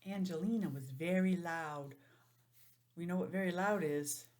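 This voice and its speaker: A woman teacher reading a picture book aloud to young children, stopping for simple, chatty explanations in an animated manner. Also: lowish voice, a little slow. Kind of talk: storybook read-aloud with chatty asides.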